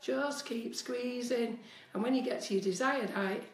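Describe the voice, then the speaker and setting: Woman, 50s, cake decorating tutor, chatty and direct, slight cold squeak; roomy kitchen, distant phone mic. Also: a little slow. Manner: chatty, instructive, addresses viewers directly. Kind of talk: live-streamed baking demonstration. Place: home kitchen, indoors. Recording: echoey kitchen, voice often off-mic.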